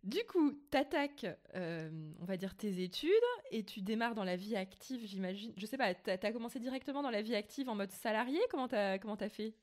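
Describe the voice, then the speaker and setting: A woman's voice: mid-range voice, a little fast, few pauses. A woman hosting a podcast, introducing her guest and asking questions in a friendly, upbeat way. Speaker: podcast host.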